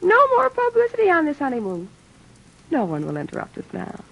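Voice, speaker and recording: An actress playing a teasing young bride in a 1940s radio drama. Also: female voice, high-pitched, wildly swooping pitch, a little fast, creaky, muffled recording, very noisy, full character voice. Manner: teasing, coy. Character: playful young bride, teasing her husband.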